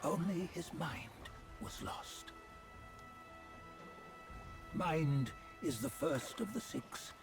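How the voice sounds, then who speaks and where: A cryptic old sage guide from a video game. He speaks slowly and solemnly in archaic, measured phrases, with sweeping pitch movement. Loud score music plays behind him. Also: big pitch swings, gravelly, exaggerated voice. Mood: cryptic, grave. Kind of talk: dramatic video game dialogue.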